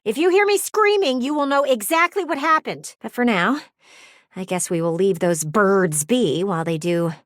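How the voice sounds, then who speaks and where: A woman's voice: medium pitch, no room sound, studio quality. A woman voicing a gossipy, vain and dramatic talking house window for a video game, with a nosy, fretful, teasing manner. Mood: anxious, pleading. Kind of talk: video game character voice acting.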